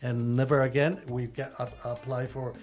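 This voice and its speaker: An older man born in Cuba, co-hosting a casual radio chat, animated and full of restarts. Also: medium pitch, moderate pace, soft background music. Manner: animated, restarts often, rising when stirred. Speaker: co-host.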